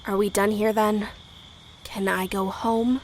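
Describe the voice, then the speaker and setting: A woman acting a brave, polite but defiant high school girl in a period audio drama, with folksy speech. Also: level tone, measured pace, slight background noise, natural voice. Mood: wary, defiant. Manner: folksy dialect grammar, plucky. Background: crickets and night insects.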